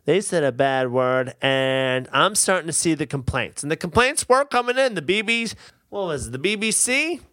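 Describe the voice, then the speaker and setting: A woman's voice: low voice, animated, normal speed, a bit bright, no echo. A woman co-hosting a podcast in casual, opinionated chat, swearing and emphatic with mock outrage. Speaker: podcast co-host.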